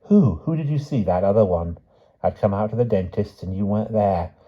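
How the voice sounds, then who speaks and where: A man's voice: fairly low pitch, sing-song, fairly quick, breathy edge, voice pushed up. A man voicing an anxious, caring niece in an audiobook story, questioning in an urgent manner. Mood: concerned, probing. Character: anxious, caring, inquisitive niece of a frail aunt. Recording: dull, muffled audio.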